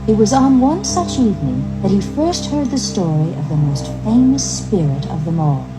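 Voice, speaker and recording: A woman reading a scripted story as narrator, with measured, expressive dramatic delivery. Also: mid-range voice, music in the background, low-quality recording.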